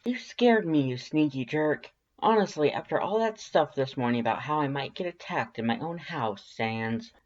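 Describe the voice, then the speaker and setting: A reader voicing a friendly, slightly awkward homeowner, casual, hesitant and gently teasing, in a fan fiction audiobook. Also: mid-range voice, animated, normal speed, few pauses, muffled recording, voice pushed up, close to an everyday voice. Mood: reproachful.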